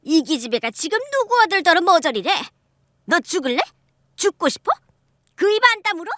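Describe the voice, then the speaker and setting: A woman's voice: high voice, very animated, normal speed, a bit bright, no room sound, clean studio sound, voice pushed up. A woman voicing a harsh, contemptuous middle-aged mother-in-law who scolds shrilly. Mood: enraged.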